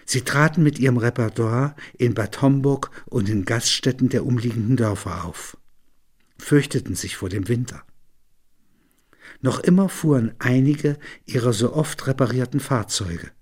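A man with a husky voice of medium pitch reads literary prose. His delivery is measured and reflective, as if thinking the material through. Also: a little mellow. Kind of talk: literary narration with reflective talk.

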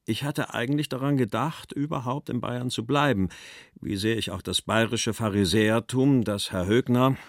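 An actor reading as a forty-year-old male writer, in a measured, dry, expressive delivery of personal letters. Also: medium pitch, dry recording, lightly acted. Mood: bitter, weary. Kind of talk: dramatic literary letter reading.

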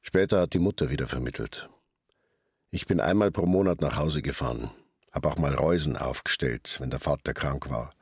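A man's voice: a bass voice, vocal fry, slightly rough, no room sound, studio quality, exaggerated voice. An actor playing a talkative middle-aged hairdresser, a fisherman's son, with a Bavarian accent in a radio drama. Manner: talkative, colloquial narrating. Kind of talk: radio drama monologue and dialogue.

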